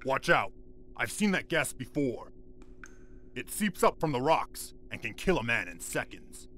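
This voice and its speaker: A man's voice: mid-range voice, very animated, a little slow, sharp tone, a little hiss or hum. A man playing a loyal, weary freed warrior in a video game, earnest and deferential to his leader. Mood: wary. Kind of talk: dramatic video game cutscene acting.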